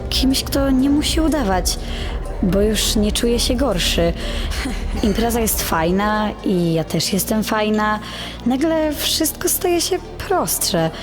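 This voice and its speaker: A woman's voice: medium pitch, big pitch swings, slow. A young actress playing an insecure teenage girl who narrates her inner thoughts as a confession in an audio drama. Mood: dreamy, elated. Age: teens to young adult. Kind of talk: introspective first-person dramatic narration. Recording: music under the voice.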